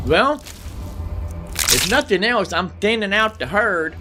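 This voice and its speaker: A man's voice: high voice, very animated, a little slow, loud music behind the voice. A man giving casual gameplay commentary, rambling, thinking aloud and repeating himself as he goes. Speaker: gaming YouTuber.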